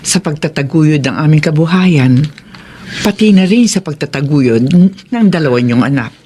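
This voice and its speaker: A woman's voice: low-pitched, expressive, normal speed, warm tone, a little hiss or hum. A woman with a Filipino accent playing a modest, gracious middle-aged woman in a radio drama.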